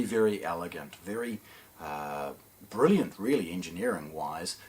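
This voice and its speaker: A man talks through a product in an unscripted presenter's style. His voice sits on the low side and has a distinctly husky grain. He explains things step by step, doubling up words when he wants to stress them. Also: darkish tone. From New Zealand. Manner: measured explaining with emphatic repetition. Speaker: hi-fi shop presenter.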